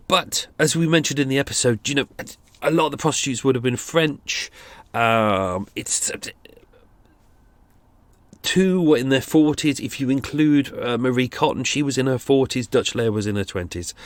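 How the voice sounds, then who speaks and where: A man's voice: medium pitch, moderate pace, no echo. A man hosting a podcast, talking casually and rambling through restarts, nasal and sniffly from a cold. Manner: rambling, restarts and fillers, thinking aloud. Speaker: podcast host. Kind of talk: casual true-crime commentary.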